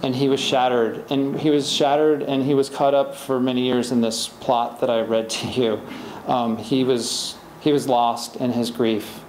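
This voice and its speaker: A man's voice: mid-range voice, little pitch movement, normal speed, darkish tone. A middle-aged man, an author at a podium, answering audience questions in a rambling, conversational way with many restarts. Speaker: author at a library talk. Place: library event room, indoors. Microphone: podium microphone, far camera. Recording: roomy hall echo, faint hiss.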